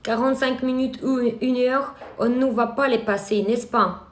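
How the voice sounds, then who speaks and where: A woman with a Ukrainian accent and a fairly high voice teaches a live online workshop as an eyelash extension trainer. She speaks in a hesitant way, filling pauses with filler sounds and restarting her sentences. The voice rings in an echoey room. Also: steady tone.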